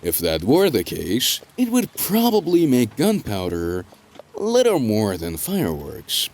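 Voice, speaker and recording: A man reading scripted dramatic narration in a measured storytelling delivery, with deliberate pauses. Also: on the low side, wildly swooping pitch, darkish tone, a little hiss or hum.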